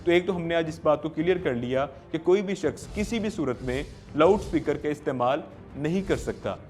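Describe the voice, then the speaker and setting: A man's voice: a little high, a little fast, a little mellow. A man with a Pakistani accent presenting an explainer to viewers as a legal host, speaking formally and emphatically with rhetorical turns.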